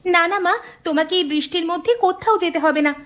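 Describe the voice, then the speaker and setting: A woman's voice: very high-pitched, steady tone, fast talker, a bit bright. A woman voicing a helpful young village daughter in a cartoon, girlish, familiar and obliging. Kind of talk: cartoon dialogue acting.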